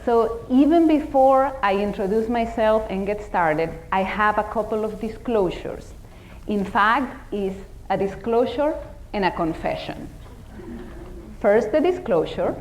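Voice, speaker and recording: A woman's voice: mid-range voice, expressive, measured pace, a little mellow, a little hiss or hum, rough recording. A middle-aged woman from the Dominican Republic with a Dominican Spanish accent, giving a humorous, self-deprecating motivational talk as keynote speaker.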